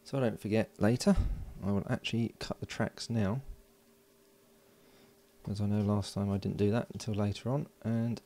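A man casually talking through hands-on electronics work, unhurried and thinking aloud. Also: on the low side, fairly even intonation, dark tone, a little hiss or hum. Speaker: host of an electronics build video. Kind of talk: casual hands-on tutorial narration. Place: indoors at a workbench.

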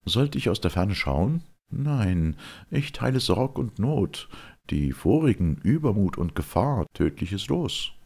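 A fiery, reckless winged youth declaims verse in a theatrical reading, performed by a man. The delivery is impassioned and proud, swinging widely in pitch, with a gravelly, husky edge. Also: big pitch swings, slow, darkish tone, exaggerated voice.

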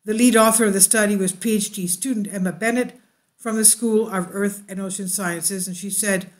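A woman's voice: medium pitch, steady tone, normal speed. A woman hosting a science news channel, reading an article aloud and breaking off into asides with fillers and self-corrections. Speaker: YouTube news host.

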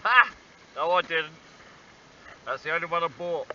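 A man's voice: fairly high pitch, normal speed, bright, forceful tone. A man talking to himself while fishing on a stony harbour shore, wry and self-deprecating, filmed on a small handheld camera. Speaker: angler vlogger. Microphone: small handheld action camera. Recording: dull lo-fi camera audio with faint wind.

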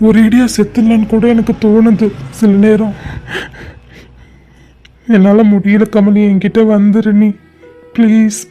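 A man's voice: sits high, completely flat delivery, a little slow, pauses often, mellow, faint music behind. A young man playing a heartbroken lover, speaking with a Tamil accent, pleading and crying. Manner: pleading, tearful and despairing. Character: lovesick young man, grieving and desperate. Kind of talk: short-film drama acting.

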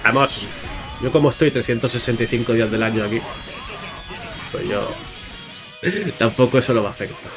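A man's voice: medium pitch, fairly even intonation, normal speed, pauses often. A man giving casual, chatty video game commentary, speaking informally and directly to his viewers with playful exclamations. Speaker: gaming YouTuber. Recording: music under the voice. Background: music.

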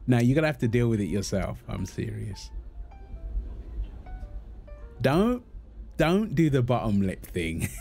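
A man playing a calm, teasing, reassuring young husband and father in a natural, intimate audio roleplay, talking gently to his partner and baby. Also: mid-range voice, normal speed, vocal fry, soft background music.

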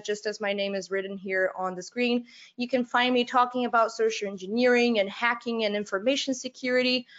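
A woman's voice: fairly high pitch, a bit bright, clear, resonant tone, dull audio. A young woman from Brazil with a Brazilian Portuguese accent gives a casual conference talk over a video call, with lots of fillers, restarts and laughs. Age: young adult. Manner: halting, many fillers and restarts, laughs often. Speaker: conference speaker. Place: home room, on video call.

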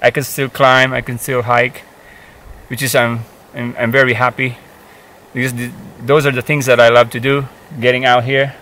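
A man's voice: medium pitch, flat delivery, normal speed, pushed and bright, rough recording. A man in his fifties talking casually to camera while sitting outdoors in a forest, hesitant, with many fillers and restarts. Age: 50s. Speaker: hiking vlogger. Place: forest, outdoors, seated on a rock.

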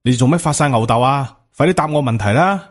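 A man's voice: medium pitch, lively intonation, fast talker, natural voice. A man with a Hong Kong Cantonese accent voicing a proud, indignant, hot-tempered Taoist master in an audiobook. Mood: demanding. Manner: indignant, confrontational. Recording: music under the voice.

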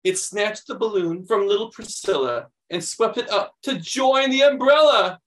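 A middle-aged American man reads a picture book aloud to young children, animated and expressive, adding chatty explanations. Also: high-pitched, normal speed. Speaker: children's read-aloud host.

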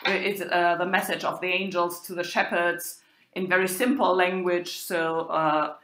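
A woman university lecturer talking over slides, hesitant, with many fillers and pauses. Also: lowish voice, fairly even intonation, a bit bright. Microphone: recorded voice-over for slides. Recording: roomy echo.

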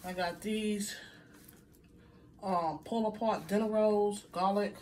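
A middle-aged woman talks her viewers through a grocery haul in a home kitchen, chatty and loose, with frequent "okay?" asides. A phone camera sits a few feet off on the counter, so her voice sounds distant. Kitchen noise and sizzling come through clearly behind her. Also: medium pitch, fairly even intonation, slow. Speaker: vlogger. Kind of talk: casual grocery haul vlog.

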